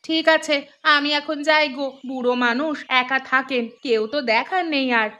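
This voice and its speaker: A woman's voice: high voice, normal speed, bright, forceful tone. A woman playing a caring village housewife in a cartoon, earnest and concerned. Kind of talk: Bengali cartoon voice acting. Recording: music behind the voice.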